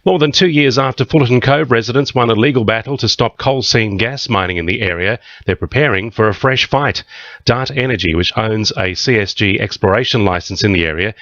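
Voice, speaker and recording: Low-voiced Australian male newsreader. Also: a little fast, fairly bright tone, dry recording, muffled recording. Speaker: news reader. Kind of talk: scripted radio news bulletin.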